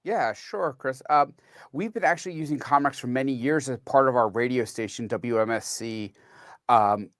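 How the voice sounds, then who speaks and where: A man's voice: mid-range voice, normal speed, a bit bright, dry recording, clean studio sound. A man speaking casually as an interview guest, a broadcast engineer chatting about his work.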